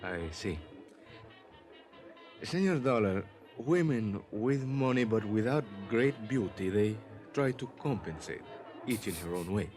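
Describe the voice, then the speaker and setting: An actor playing a proud, mercenary gigolo, courtly and measured, in an old-time radio drama. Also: male voice, on the low side, expressive, a little mellow, soft background music. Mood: amused, proud.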